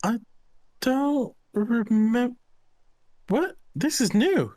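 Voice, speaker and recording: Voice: mid-range voice, expressive, dark tone. A player voicing a hesitant young male companion who stammers and halts often. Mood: flustered. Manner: stammering, halting.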